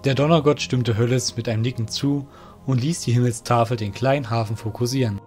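A man's voice: mid-range voice, steady tone, brisk, darkish tone, faint music behind. A man narrating a scripted fantasy audio drama.

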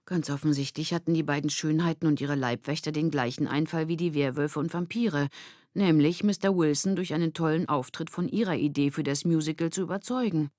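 A woman reading a children's audiobook as narrator, with clearly articulated, expressive storytelling. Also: low-pitched, fairly quick, no echo, studio quality. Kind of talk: scripted children's story narration with character voices.

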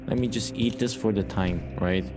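Man, lower-pitched voice, casual gaming commentary, rambling, playful, repetitive phrasing, clear music in the background. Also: steady tone, normal speed. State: short on sleep. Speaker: gaming YouTuber, host. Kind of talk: casual let's play commentary. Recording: music behind the voice.

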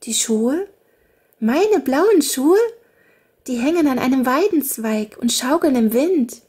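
A woman's voice: sits high, lively intonation, slow, darkish tone, slightly rough, pitched above the performer's own voice, heavily acted. Woman doing a light, carefree little princess. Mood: dreamy. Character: carefree little fairy-king's daughter.

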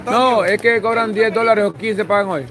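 A man's voice: sits high, normal speed. A man with a Salvadoran accent chatting casually as the host of a livestream. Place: outdoors at night in a busy street. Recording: busy outdoor crowd noise. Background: crowd chatter.

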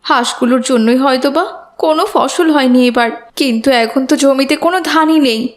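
A woman's voice: high-pitched, normal speed, close to an everyday voice. A woman voicing a hot-tempered, spiteful village neighbour woman in a moral cartoon. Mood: remorseful. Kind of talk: children's moral cartoon dialogue.

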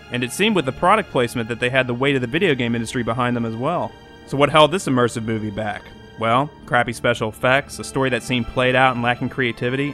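A man's voice: medium pitch, fairly bright tone. A young man delivering a scripted video essay in a wordy, articulate, opinionated way as host and critic. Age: young adult.

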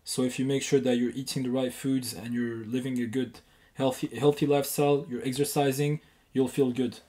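A man's voice: medium pitch, level tone, a little fast, warm tone. A man from Morocco whose first language is French, speaking confidently as a guest on a casual phone interview. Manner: confident, explanatory. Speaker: guest caller.

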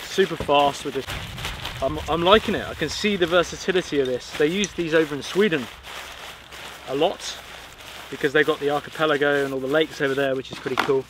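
A man in his thirties from the UK, chatting casually and excitedly to camera while setting up gear outdoors. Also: a little high, a little fast. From United Kingdom. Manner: upbeat, chatty, slangy. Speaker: outdoor vlogger. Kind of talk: casual show-and-tell to camera. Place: windy pebble beach outdoors. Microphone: camera mic outdoors. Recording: wind noise on outdoor mic. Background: wind and shore ambience.

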